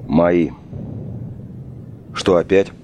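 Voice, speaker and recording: A man's voice: deep voice, steady tone, extremely slow, a little mellow, slight background noise. A man playing a wounded, tired political officer in a wartime audio drama, terse, grim and subdued. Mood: weary. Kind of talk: Russian audio drama acting.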